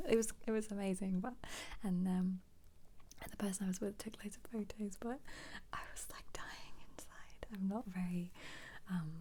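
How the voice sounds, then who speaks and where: A British woman speaking in a very soft, breathy whisper, giving casual, gentle ASMR commentary with rambling asides close to the microphone. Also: medium pitch, fairly even intonation, moderate pace, slight background noise. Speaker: ASMR creator. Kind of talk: soft-spoken ASMR book flip-through commentary.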